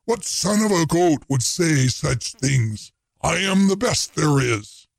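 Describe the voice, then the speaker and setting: Man reading a gravelly, hot-tempered ironsmith, blustering and proud. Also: expressive, slow, no echo, heavily acted. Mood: indignant. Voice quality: gravelly, booming. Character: gruff booming proud male ironsmith.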